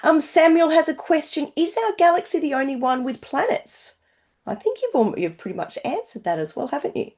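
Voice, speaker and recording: A woman's voice: high voice, sing-song, fairly quick, darkish tone. A woman hosting a live online educational session, reading out questions in an enthusiastic, encouraging way. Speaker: host reading out audience questions.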